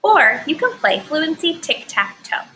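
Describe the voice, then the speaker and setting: Expressive woman teaching young kids. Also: high voice, a little slow, a little hiss or hum, low-quality recording. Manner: clear, expressive, encouraging teacher talk. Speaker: first grade teacher. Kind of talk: recorded classroom lesson.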